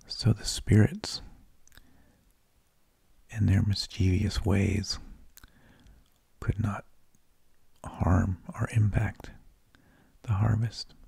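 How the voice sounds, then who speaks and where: A man narrating in a soft, breathy ASMR whisper, with slow, deliberate phrasing, close to the microphone. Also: on the low side, level tone, no room sound. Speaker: ASMR host.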